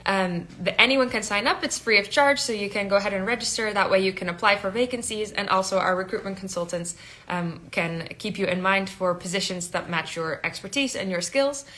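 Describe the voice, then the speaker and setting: Bright American-Dutch woman fielding questions. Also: mid-range voice, fairly quick, a little hiss or hum. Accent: American with Dutch tinge. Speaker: recruitment agency staff hosting a live Q&A. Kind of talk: informal explanatory live Q&A.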